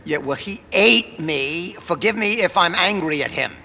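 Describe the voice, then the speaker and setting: An actor playing Jonah, an irritable, flustered prophet, in an audio drama. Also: male voice, high-pitched, expressive, unhurried, pushed and bright, full character voice. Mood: irritated. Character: exasperated, panicky man named Jonah, a prophet. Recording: long hall reverb, faint noise.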